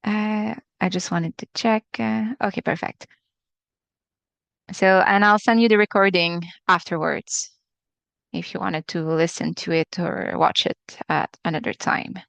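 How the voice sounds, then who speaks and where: Quebec French-accented woman, smooth and low. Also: steady tone, unhurried, a bit bright, no room sound, muffled recording. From Quebec, Canada. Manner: hesitant, many uh fillers. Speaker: acupuncturist webinar presenter. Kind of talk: live webinar lecture. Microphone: video call.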